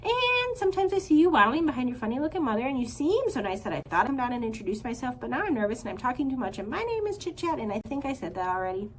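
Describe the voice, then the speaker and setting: A woman's voice: sits high, big pitch swings, quick pace, hardly any pauses, a little hiss or hum. A woman voicing a young female squirrel who rambles on nervously in breathless run-on chatter in a storybook.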